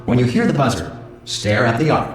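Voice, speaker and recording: A man's voice: mid-range voice, animated, a little fast, lightly acted. Man as an echoing, officious automated announcer. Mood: bland, instructive. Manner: formal, scripted, blandly cheerful. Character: polite, officious male automated facility announcer machine. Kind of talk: recorded public announcement in a video game. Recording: echoing public-address announcement over music.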